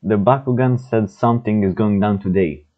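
A man's voice: fairly low pitch, moderate pace, narrow, tinny audio, natural voice. A man playing earnest, no-nonsense police officers in an amateur stop-motion film, speaking in a plain, matter-of-fact way. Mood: resolute.

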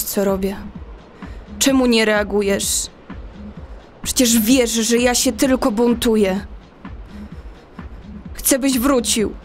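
A woman's voice: on the high side, darkish tone. An actress playing a hurt young woman pleading with a distant loved one, reciting poetry emotively in an audio drama.

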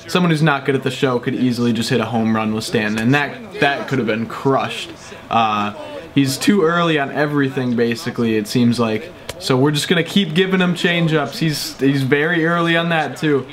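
A young man, a little sick, chatting casually with lots of fillers while playing a baseball video game at home. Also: medium pitch. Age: young adult. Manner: chatty, rambling, upbeat with frequent fillers. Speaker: gaming YouTuber. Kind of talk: casual gameplay commentary. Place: small room at home, indoors.

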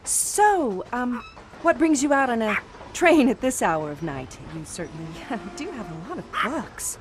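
A woman's voice: fairly high pitch, big pitch swings, measured pace, rough recording. An actress playing a cautious, level-headed young woman on the run in an audio drama. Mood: polite, uneasy.

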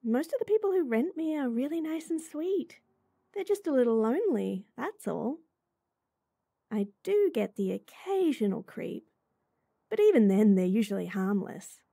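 A woman voicing a sweet, bubbly young rental girlfriend in an intimate roleplay audio, giggly, chatty and gently reassuring. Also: a little high, big pitch swings, normal speed, creaky, dry recording, studio quality, exaggerated voice. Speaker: voice actor.

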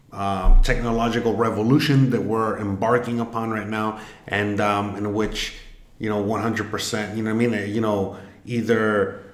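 A man, musing aloud in a rambling, filler-heavy way while taking an online quiz on a desk webcam. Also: fairly low pitch. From Miami, Florida, US. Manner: rambling, many fillers and restarts. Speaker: vlogger. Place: home room, indoors. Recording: echoey room.

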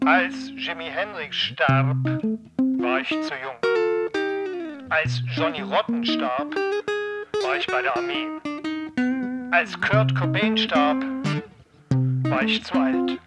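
Guy in his fifties doing spoken word, voice a bit high, loud guitar playing behind him. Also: big pitch swings, takes it slowly, pauses often. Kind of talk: stage talk and spoken-word poetry over guitar. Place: small theatre hall with red seats, indoors. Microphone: stand microphone with pop filter, close. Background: electric guitar playing.

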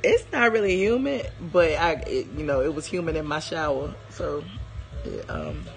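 A hoarse woman in her forties, chatting casually on a live stream from her living room, rambling and greeting viewers by name, with a phone held at arm's length. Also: low-pitched, very animated, normal speed, soft background music, low-quality recording. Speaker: livestream host. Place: living room at home, indoors.

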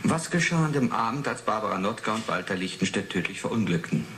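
A needling male interrogator with a fairly low voice probes persistently. It is an eighties radio play, recorded in an echoey room with clear noise behind. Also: lively intonation, fast talker, fairly bright tone. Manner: pointed, probing. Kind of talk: 1980s German radio drama acting.

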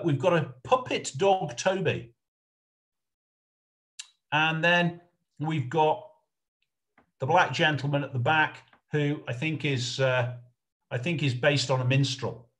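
Halting man giving an informal lecture. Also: mid-range voice, fairly bright tone. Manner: halting, thinking aloud. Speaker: lecturer on puppet history. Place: indoors, online presentation on video call.

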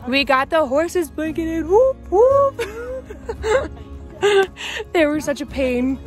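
A woman's voice: high-pitched, lively intonation, slow, soft background music. A young woman in her twenties chatting casually as she vlogs outdoors on a farm, filming herself on a phone held at arm's length. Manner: chatty, bubbly, talks to animals in a baby voice. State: out of breath while running. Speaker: vlogger.

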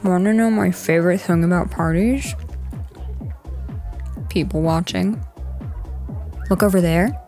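A woman's voice: fairly low pitch, a little mellow. A woman playing a teasing young goth girl in an ASMR roleplay, talking casually and directly to the listener. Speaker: roleplay voice performer. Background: background music.

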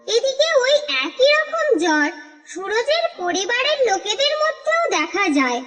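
Female cartoon narrator, very high, animated delivery, reverby with soft music bed. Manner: steady, expressive storytelling. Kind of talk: scripted storybook narration.

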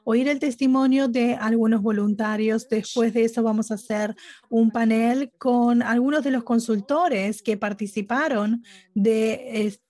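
A woman giving live interpretation at a webinar, speaking haltingly phrase by phrase. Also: fairly high pitch, steady tone, mellow, no echo. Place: remote online conference audio channel.

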